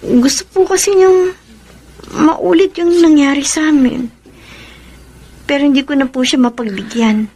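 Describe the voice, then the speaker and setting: A woman acting a gentle, reluctant young sweetheart in a Filipino radio romance drama. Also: high-pitched, unhurried, long pauses. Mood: troubled.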